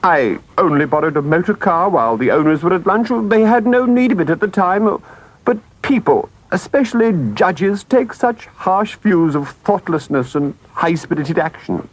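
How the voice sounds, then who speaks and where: A man's voice: sits high, animated, normal speed, pitched above the performer's own voice, poor audio. A man voicing a boastful, self-pitying toad in a storybook, with a melodramatic, wheedling manner. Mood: sheepish excusing.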